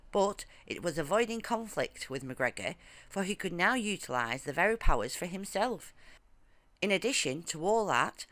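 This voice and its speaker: A storyteller narrating a folktale from a script in measured, formal storybook phrasing. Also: medium pitch, a bit bright, no echo.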